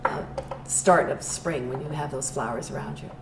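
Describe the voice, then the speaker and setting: Older woman, low and unhurried. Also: vocal fry, background noise. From Edmonton, Canada. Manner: thoughtful, unhurried, searching for words. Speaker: arts venue owner. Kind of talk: interview answers. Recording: roomy sound, distant mic, background music.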